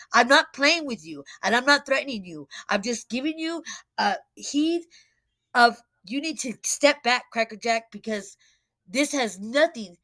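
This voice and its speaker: A woman's voice: fairly high pitch, a bit bright. Blunt woman on an informal rant. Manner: blunt, scolding, emphatic, repetitive. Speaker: online commentator posting a rant. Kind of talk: confrontational direct-to-camera rant, informal.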